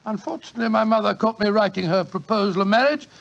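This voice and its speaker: A high, creaky-voiced older English doctor in an old radio play. He is genial and old-fashioned, an avuncular storyteller who chats as he reminisces. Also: fast talker, fairly bright tone, highs cut off. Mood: genial, nostalgic. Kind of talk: 1940s radio drama storytelling.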